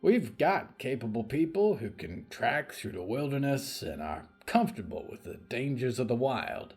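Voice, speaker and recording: A man playing a calm, courteous old cowboy tracker in an audio drama, with a folksy, measured manner. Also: medium pitch, natural voice. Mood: steady, practical. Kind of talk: audio fiction story acting.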